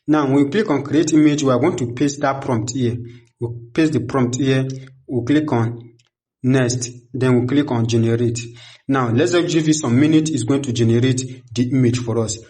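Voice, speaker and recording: A man's voice: mid-range voice, steady tone, normal speed, a little mellow. Young man hosting a step-by-step tutorial. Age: young adult. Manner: repetitive, instructional. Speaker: tutorial host. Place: small indoor home studio. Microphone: desktop condenser microphone close in front.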